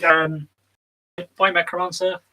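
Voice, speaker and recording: A man's voice: high-pitched, level tone, unhurried, a crowd in the background. A man co-hosting a live tabletop game discussion show, chatting casually and reading out viewer comments with plenty of "um"s. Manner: chatty, reads viewer comments aloud, frequent "um". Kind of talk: casual live-stream chat.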